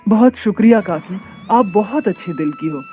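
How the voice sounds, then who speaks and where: A woman playing a gentle, patient young mother from a poor family in a cartoon, with a soothing manner. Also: medium pitch, lively intonation, normal speed, faint music behind, lightly acted. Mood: grateful.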